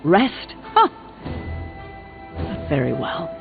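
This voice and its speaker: A man's voice: fairly high pitch, wildly swooping pitch, very slow, heavily acted. An actor playing a stern, skeptical and impatient male warrior in a video game, speaking in a terse, formal, sardonic way. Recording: phone-like sound over clear background music.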